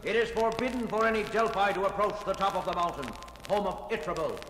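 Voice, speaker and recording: An actor playing a proud, fervent leader of a primitive mountain people, declaiming like a preacher in a 1970s record drama. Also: male voice, high voice, fast, fairly bright tone, a little hiss or hum. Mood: urgent, reverent. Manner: declamatory, solemn, preacher-like oratory. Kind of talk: 1970s children's record audio drama acting. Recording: echoey cavernous hall reverb, music behind.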